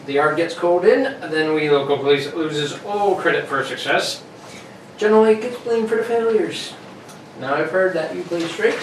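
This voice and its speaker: A man's voice: a little high, moderate pace, lightly acted. A man playing a deferential local police sergeant, talking colloquially in a radio play. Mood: friendly, obliging. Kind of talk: amateur radio-play acting. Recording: roomy, distant, noisy live recording.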